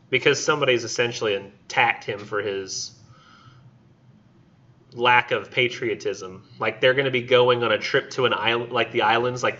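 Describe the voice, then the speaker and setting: A man's voice: mid-range voice, pushed and bright. A man teaching a college literature class, retelling a story in a loose, conversational way with acted-out quotes. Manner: casual and conversational, acts out characters in quotes. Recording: muffled classroom recording.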